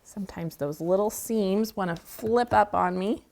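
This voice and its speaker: A woman's voice: mid-range voice, measured pace, creaky, a little mellow. A woman from the hosting a quilting tutorial. She talks casually as she works and explains each step, and she sounds a little stuffy from a cold. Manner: chatty, walks through steps while working. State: has a cold, sniffling. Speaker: quilting show host. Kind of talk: friendly sewing tutorial. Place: sewing studio indoors.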